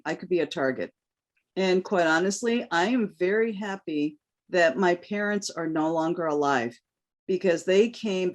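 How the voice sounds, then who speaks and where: A woman's voice: mid-range voice, a little mellow. A woman from New York whose first language is Cantonese, a guest giving a candid, impassioned interview answer. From New York, United States. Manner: earnest, impassioned.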